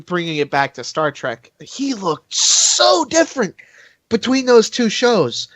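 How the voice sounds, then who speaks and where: A podcast co-host chatting casually in an animated, exclamatory way. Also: mid-range voice, unhurried, dry recording. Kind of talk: casual chat.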